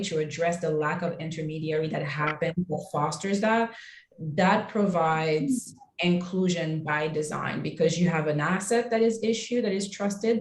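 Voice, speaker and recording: Low-voiced woman in an echoey room. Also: slight background noise. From Haiti. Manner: measured, halting, with frequent restarts. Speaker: fintech founder on a webinar panel. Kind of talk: panel discussion answer. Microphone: webinar call microphone. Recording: roomy echo on a video call.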